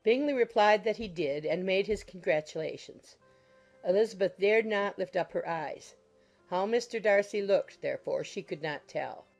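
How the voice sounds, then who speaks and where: A woman's voice: medium pitch, moderate pace, a little mellow. A woman reading scripted literary narration as the narrator of an audiobook. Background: music.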